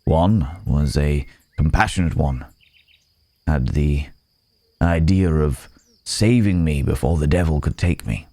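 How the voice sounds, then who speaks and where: A man's voice: rumbling low, slow pace, mellow, no room sound, exaggerated voice. A man playing a weary, courteous cursed werewolf in an ASMR roleplay, speaking in formal, old-fashioned phrases with pensive hesitation. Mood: resigned, sombre.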